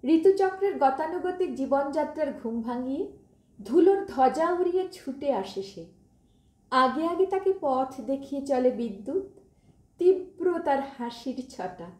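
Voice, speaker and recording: A woman reciting poetry expressively, with dramatic, deliberate phrasing and pauses. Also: high voice, animated, darkish tone. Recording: roomy, echoing voice recording.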